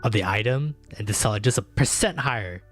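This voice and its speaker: A man co-hosting a casual live-stream chat who teases his partner and laughs readily. His voice sits a little low, and faint music runs in the background. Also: animated, a bit bright. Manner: teasing, quick to laugh. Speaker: podcast co-host.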